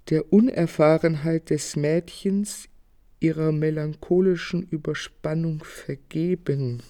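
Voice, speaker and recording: An actor playing a passionate, tormented young painter in a radio drama, with declamatory, emotional, literary delivery. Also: male voice, on the high side, mellow. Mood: brooding, bitter. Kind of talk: German radio drama acting.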